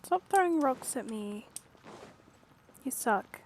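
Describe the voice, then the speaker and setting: A woman's voice: high voice, expressive, takes it slowly, darkish tone, a little hiss or hum. A woman streaming a video game, chatting informally and thinking aloud as she plays. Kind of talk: casual gameplay commentary.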